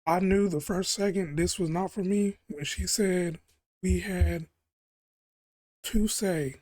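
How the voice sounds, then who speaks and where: A man's voice: high-pitched, slow pace, a little mellow. A man hosting a reaction video, talking casually in slang, rambling and repeating himself. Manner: slangy, rambling, says "bro" constantly.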